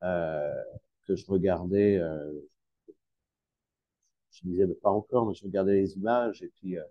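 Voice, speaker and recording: A man's voice: low-pitched, unhurried, dry recording, highs cut off. A French man from Lille, a teacher and interview guest, talking casually with many fillers and restarts. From northern France, Lille. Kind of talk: conversational interview.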